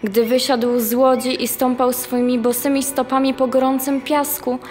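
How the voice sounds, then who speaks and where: A woman's voice: sits high, little pitch movement, moderate pace, darkish tone, close to an everyday voice. A young woman narrating a radio play as the storyteller of a sailor's sea voyage. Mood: solemn storytelling. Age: young adult. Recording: long hall reverb under music.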